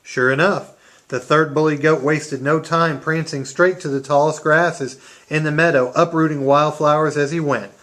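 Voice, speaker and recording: A children's storyteller narrating a puppet show. The voice sits at a middle pitch and tells the tale in an expressive storybook style. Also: moderate pace.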